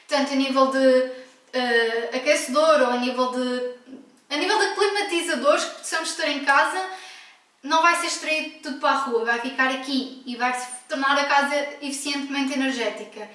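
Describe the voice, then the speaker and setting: Portuguese woman vlogging at home, frank and emphatic, high voice, really echoey room, mic far off. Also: pushed and bright. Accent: European Portuguese. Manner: frank, emphatic, with self-corrections. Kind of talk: casual home vlog talk.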